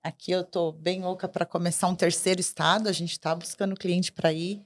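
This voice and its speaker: Low-voiced woman telling a story. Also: moderate pace. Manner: lively storytelling, self-correcting. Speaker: sales partner. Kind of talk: casual podcast chat.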